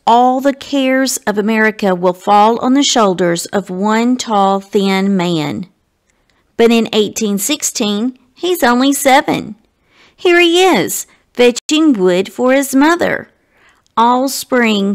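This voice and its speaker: A woman narrating a children's storybook expressively, with deliberate phrasing that addresses young listeners directly. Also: on the high side. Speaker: guest story reader.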